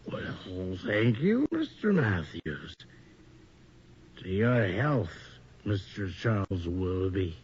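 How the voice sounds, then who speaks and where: An actor playing a bitter, drunken manservant in a theatrical radio horror drama, muttering to himself. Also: male voice, low voice, very animated, slow pace, darkish tone, exaggerated voice. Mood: bitter, gloating. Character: bitter drunken manservant, resentful, then terror-stricken by a ghost. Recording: muffled radio drama recording, faint noise.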